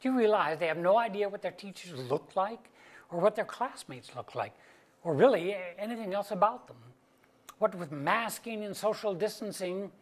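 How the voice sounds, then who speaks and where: Husky, wry middle-aged husband, played by a man. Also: high voice, animated, normal speed. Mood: reflective. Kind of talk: staged play reading.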